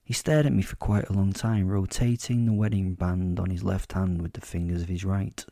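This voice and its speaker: Male narrator, wry werewolf storyteller for audio drama. Mellow, lowish voice, measured and pause-heavy, dry recording. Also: steady tone, vocal fry, dry recording. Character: werewolf telling his life story, wry and boastful. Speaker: narrator and host of a fiction podcast.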